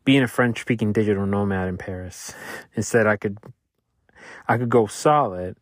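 Low-voiced man, Spanish native, musing. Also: no room sound, clean studio sound. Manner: thoughtful, halting, restarts often. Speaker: podcaster reflecting on his week. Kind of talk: casual reflective monologue. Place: Louisiana.

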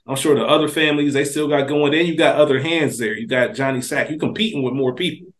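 A man with an average-pitched voice co-hosts a podcast. He speaks casually and colloquially, circling back over his phrases as he thinks out loud. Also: fast talker. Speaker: podcast co-host. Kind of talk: casual discussion.

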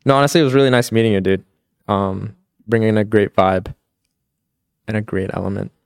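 A man's voice: on the low side, fairly even intonation, a little fast, vocal fry, clear, resonant tone, no room sound, studio quality. A young man as a podcast guest, chatting casually with lots of "dude" and "like" and frequent laughs. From Pakistan. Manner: laid-back, says "dude" and "like" a lot, laughs often. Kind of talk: casual chat.

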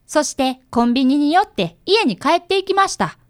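Radio drama boy detective, about ten, played by a woman, earnest and precise, lively pitch, dry studio sound. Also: brisk, dry recording. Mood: narrating. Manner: polite, precise, boyish. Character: earnest, bright fifth-grade boy, apprentice detective. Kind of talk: radio drama dialogue and first-person narration.

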